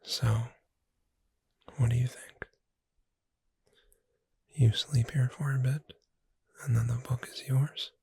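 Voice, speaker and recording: A gentle witch who keeps a bookshop, acted out by an ASMR performer, whispering very breathily and slowly. The voice is soft and soothing, speaking straight to the listener in a close, dry sound. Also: medium pitch, steady tone, creaky, breathy edge, dry recording, full character voice. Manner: slow, gentle, soothing, addressing the listener directly.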